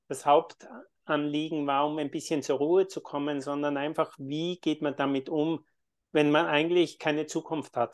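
A man who teaches meditation and yoga, answering interview questions in a calm, thoughtful way with frequent fillers. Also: fairly high pitch, smooth. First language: German.

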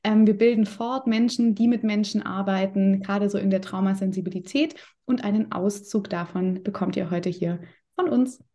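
A woman's voice: mid-range voice, fairly even intonation, moderate pace, warm tone. A woman who works as a yoga coach, introducing herself informally at the start of a workshop. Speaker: yoga studio owner and body-oriented coach.

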